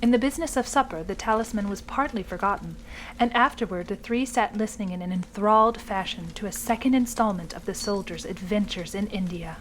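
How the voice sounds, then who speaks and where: A woman's voice: mid-range voice, fairly quick, rough recording. A woman narrating a literary short story from a script as a storyteller. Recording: clear background noise.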